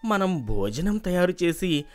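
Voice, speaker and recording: A man voicing a gentle elderly village husband in an animated story, slow and reassuring. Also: high-pitched, pitched above the performer's own voice, full character voice.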